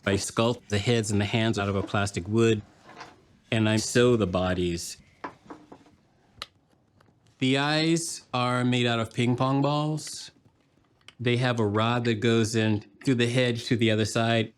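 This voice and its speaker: A man speaking as an artist and puppeteer in a reflective documentary interview, measured and explanatory. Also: medium pitch, slight background noise.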